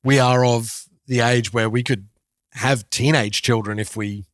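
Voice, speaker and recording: Guy in his 40s on a podcast, medium pitch, kinda halting, chatty, super dry recording. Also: steady tone, no echo. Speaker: podcast host. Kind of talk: casual chat about music and culture.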